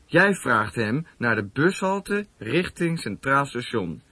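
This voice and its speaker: An actor playing a smooth-talking petty crook in a Dutch radio drama, mock-friendly, boastful and condescending. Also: male voice, medium pitch, sing-song, slow pace, sharp tone, no echo, exaggerated voice. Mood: condescending, instructing. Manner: jovial, condescending, wheedling.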